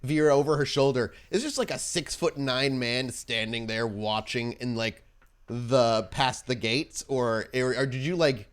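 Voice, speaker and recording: A man's voice: medium pitch, unhurried, clear, resonant tone. A man improvising casual narration as the game master of a tabletop role-playing game.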